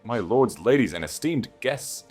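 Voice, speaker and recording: A man's voice: mid-range voice, lively intonation, unhurried, darkish tone, husky, soft background music, pitched above the performer's own voice. A man voicing a proud aristocratic groom in an audiobook, formal in manner and hiding desperation under false composure. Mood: forced composure.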